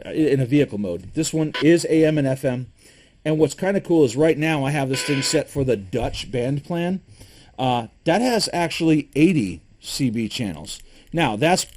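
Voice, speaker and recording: A man from Michigan casually reviewing preparedness gear, talking in a relaxed, opinionated way with frequent ums and restarts. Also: medium pitch. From Michigan, USA.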